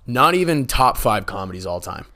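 A man in his twenties with a raspy voice, co-hosting a podcast in a loose, rambling way, often laughing. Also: medium pitch, big pitch swings, normal speed, pushed and bright. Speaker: podcast co-host. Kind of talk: casual chat.

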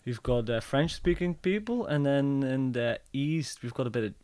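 A man's voice: mid-range voice, animated, normal speed, darkish tone, clean tone, dry recording. A man in his thirties from Belgium with a Flemish accent, speaking English as a second language, talking casually with frequent fillers and restarts. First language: Dutch (Flemish). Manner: hesitant, many restarts and fillers. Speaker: guest, motorbike traveler. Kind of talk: casual conversation.

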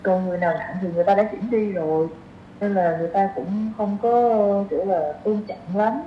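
A woman's voice: mid-range voice, brisk. A woman in her twenties with a southern Vietnamese accent, chatting casually as a podcast guest, hesitant and thinking aloud. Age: 20s. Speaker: podcast guest, young doctor. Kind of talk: casual chat. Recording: roomy echo, dull sound, light noise.

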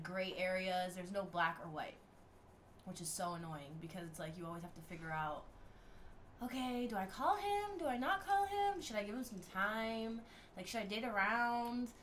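A woman's voice: mid-range voice, a bit bright, slight background noise. A woman in her twenties chatting on a podcast, leaning on "like" and sounding exasperated. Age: 20s. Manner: chatty, says "like" a lot, exasperated. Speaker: co-host. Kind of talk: casual podcast chat.

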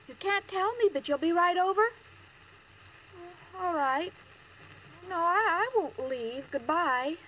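Super high ditzy sweet girl, old radio sitcom, naive n earnest, noisy crackly recording. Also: animated, slow, pauses often, fairly bright tone, full character voice. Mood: naive, excited. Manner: naive, earnest, slightly hesitant. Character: sweet, scatterbrained, literal-minded young woman roommate. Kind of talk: 1940s-50s radio sitcom acting.

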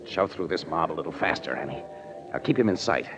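An actor playing a wry, stubborn, street-smart crime photographer with slangy, gruff talk in a 1940s radio drama. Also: male voice, very deep voice, expressive, fast, background noise. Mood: alert. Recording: dull old radio recording, crowd behind.

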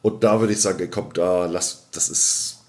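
Low-voiced man chatting as podcast co-host. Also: fairly even intonation, moderate pace. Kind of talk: casual unscripted chat.

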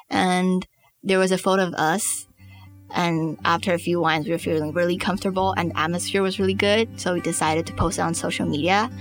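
Young Taiwanese woman, lowish voice, reflective storyteller. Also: fairly bright tone. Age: young adult. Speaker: interview guest. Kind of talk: personal storytelling in an interview. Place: studio.

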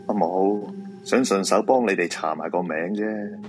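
An actor playing a polite, nervous fortune-teller who sees ghosts, in a Hong Kong Cantonese radio drama, with a courteous, probing manner. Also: male voice, low-pitched, normal speed, faint music behind, lightly acted. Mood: polite, cautious. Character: polite, nervous male feng shui fortune-teller with ghost-seeing eyes.

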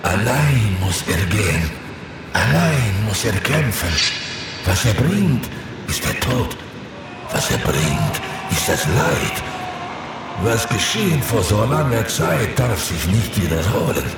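Solemn fantasy seer, cryptic halting prophecy, super echoey, pitch swinging up and down. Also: male voice, far from the mic, heavy background noise, full character voice. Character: mysterious male seer reciting a prophecy in flowery words. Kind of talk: slow, solemn fantasy audio drama acting.

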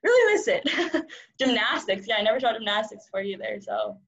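A woman's voice: on the high side, big pitch swings, brisk, fairly bright tone. Young woman, twenties, first language Cantonese; bubbly, rambling interview guest, slightly muffled lo-fi audio. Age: 20s. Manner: rambling, upbeat, laughs often, many "like" fillers. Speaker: journalism student guest. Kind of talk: casual interview chat.

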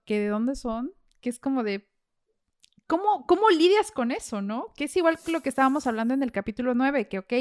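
A Mexican woman hosts a podcast in a relaxed, colloquial style. Her voice sits fairly high and sounds clean and smooth. She talks like an animated storyteller among friends. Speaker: podcast host. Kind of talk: casual chat.

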